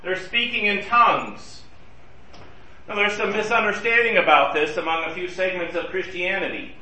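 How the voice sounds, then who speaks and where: A man's voice: sits high, moderate pace, bright, forceful tone, a little hiss or hum. Man leading a roomy Bible class. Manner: conversational, asks the group questions. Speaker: Bible study leader. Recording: roomy and distant from the mic.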